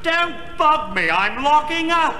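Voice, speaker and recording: An actor playing a grumbling, centuries-old monster husband, weary and exasperated, in a 1960s comedy album sketch. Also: male voice, extremely high pitch, very animated, slow, exaggerated voice. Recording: echoey, very noisy hall with audience.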